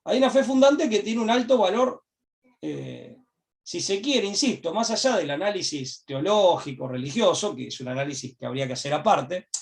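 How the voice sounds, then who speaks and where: A man with an Argentine accent, a guest in an interview, speaking at length in a discursive, reflective way, repeating his key phrases and pausing to find words. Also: sits high, sing-song, recorded from a distance. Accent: Argentine Spanish. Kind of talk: reflective political commentary.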